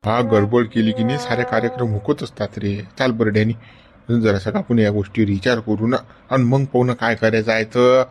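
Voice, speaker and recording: A man's voice: fairly low pitch, fairly even intonation, moderate pace, faint music behind, natural voice. An actor playing an elderly male friend who coaxes and scolds in a Varhadi Marathi accent. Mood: coaxing. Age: older. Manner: coaxing, chiding with repeated "गड्या".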